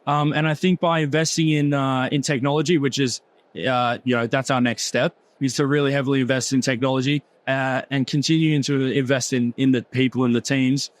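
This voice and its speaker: A man's voice: medium pitch, fairly even intonation, brisk. An Australian man talking business on a panel, hesitant, with many fillers and restarts. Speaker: trading executive. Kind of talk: panel conversation.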